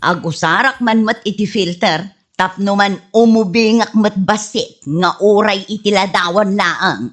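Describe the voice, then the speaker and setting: A woman's voice: mid-range voice, measured pace, soft background music, lightly acted. A woman playing a jealous, quarrelsome middle-aged wife in an Ilocano comedy radio drama, petulant and scornful, with a Filipino Ilocano accent.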